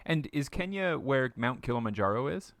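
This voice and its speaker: A man's voice: medium pitch, animated, normal speed, fairly bright tone. Curious male podcast host. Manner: curious, joking questions. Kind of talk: casual interview chat.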